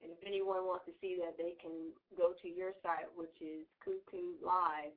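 A woman's voice: on the low side, flat delivery, normal speed. A woman hosting a radio interview, chatty and friendly, laughing often with her guest. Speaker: radio host. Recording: tinny phone-like broadcast sound.

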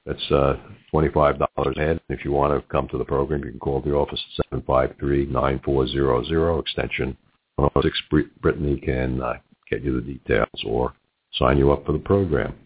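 Male host, middle-aged, New York accent, very low husky voice, business interview, thin phone-like sound. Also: steady tone, a little fast. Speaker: radio talk show host. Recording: muffled narrow phone-like audio.

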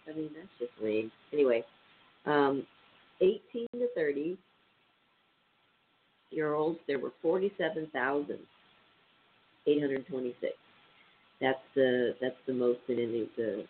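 A man with a stuffy, nasal voice hosting a casual radio show, reading statistics aloud with many ums and uhs. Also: mid-range voice. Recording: tinny phone-line sound with faint hiss.

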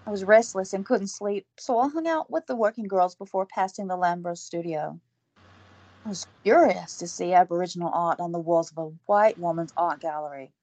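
A woman's voice: lowish voice, moderate pace, a little mellow, dry recording, highs cut off. A woman playing a flustered, earnest young woman in an audio drama.

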